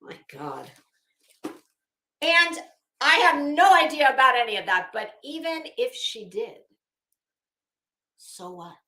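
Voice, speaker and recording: A woman's voice: sits high, very animated, takes it slowly, long pauses, pushed and bright. A woman reading documents aloud dramatically, breaking off into sarcastic, brash, profane commentary as an online host. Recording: echoey room.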